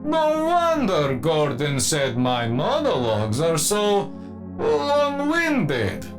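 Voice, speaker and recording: A man's voice: on the high side, wildly swooping pitch, slow pace, music in the background, exaggerated voice. A man playing a theatrical alien villain father, doting and lecherous, in a comedic audio drama with a rambling delivery. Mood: chatty, grateful.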